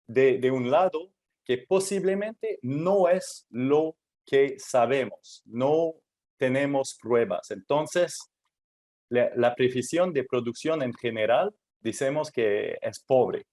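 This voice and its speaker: Slow, halting non-native Spanish-speaking man. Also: medium pitch, warm tone. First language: not Spanish; Spanish is his third language. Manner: halting, many "eh" fillers and restarts, non-native phrasing. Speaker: presenter at a technical workshop. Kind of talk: technical presentation.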